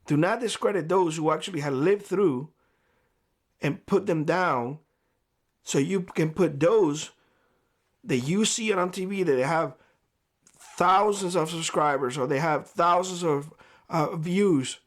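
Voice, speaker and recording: Hoarse man giving blunt advice. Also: a little high, unhurried, long pauses. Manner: blunt and lecturing. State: hoarse, losing his voice. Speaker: prepper YouTuber. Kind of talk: direct-to-camera vlog monologue. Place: homestead.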